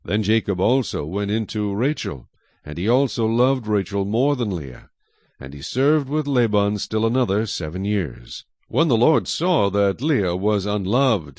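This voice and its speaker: A male narrator reading scripture as a dramatic story. His voice is on the low side with lively, expressive intonation. The recording is close and dry. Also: dry recording.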